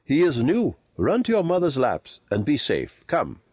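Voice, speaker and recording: Man playing Kim, a cheeky, lively street boy. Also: mid-range voice, unhurried, no room sound, studio quality, pitched above the performer's own voice. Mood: bossy.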